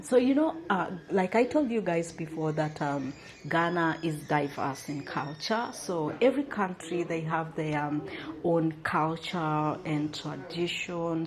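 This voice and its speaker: A young woman from Uganda chats to her viewers in a loose, rambling travel-vlog style, full of "um" and "you know". Her voice sits a little on the low side for a woman. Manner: chatty, many fillers, addresses viewers as guys. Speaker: travel vlogger. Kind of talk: casual chat to camera.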